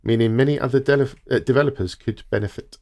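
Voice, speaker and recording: A man's voice: mid-range voice, quick pace, a little mellow. Man reading informal gaming news. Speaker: podcast co-host reading news items.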